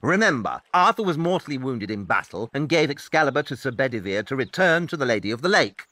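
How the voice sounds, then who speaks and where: A self-assured male detective, acted by a man in an audio play, reasoning aloud with theatrical care. His middle-pitched voice moves expressively up and down, and the recording is very dry. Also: very animated, fast talker, pushed and bright, no echo. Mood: confident explaining. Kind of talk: audio drama acting.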